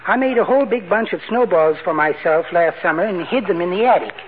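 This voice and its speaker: A man's voice: sits high, quick pace, lightly acted. An actor playing a timid, sweet, henpecked little married man with prissy, quaint manners in a 1940s radio comedy. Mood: chirpy, meek. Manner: meek, prissy, quaint exclamations. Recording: noisy, narrow-band 1940s radio recording.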